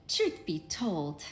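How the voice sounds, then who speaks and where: A woman's voice: fairly low pitch, heavily acted. An actress playing an earnest, humble woman secretary in an anime game, formal and a little hesitant. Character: earnest, humble grown woman secretary, admiring and self-doubting. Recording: slightly roomy, music underneath.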